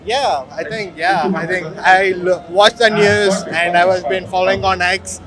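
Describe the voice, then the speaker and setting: A man's voice: high-pitched, fairly even intonation, measured pace, crowd noise. A man from India working in IT, giving short easygoing answers in an outdoor street interview. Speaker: IT worker. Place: busy outdoor square.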